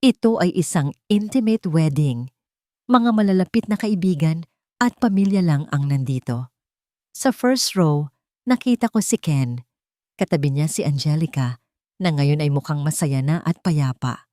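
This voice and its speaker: A woman narrates a scripted romantic story in the first person. Her voice sits fairly low for a woman. The sound is dry, with very little room around it. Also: expressive, measured pace, warm tone, no echo.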